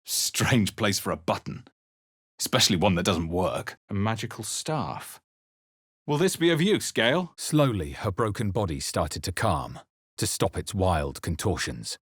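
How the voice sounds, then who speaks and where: A man playing a grave, introspective adventurer hero in a fantasy video game, speaking in a measured, dramatic way. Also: on the low side, big pitch swings, a bit bright, slightly rough, no room sound, studio quality, heavily acted. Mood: curious, wondering. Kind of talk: scripted video game narration and character lines.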